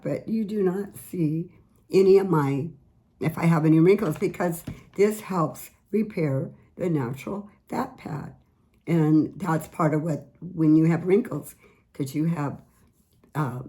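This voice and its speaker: A middle-aged woman, a little raspy today, chatting earnestly about skin care products she sells. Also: fairly low pitch, unhurried, warm tone. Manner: chatty, earnest, repeats words. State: slightly raspy voice. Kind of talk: casual product tips vlog.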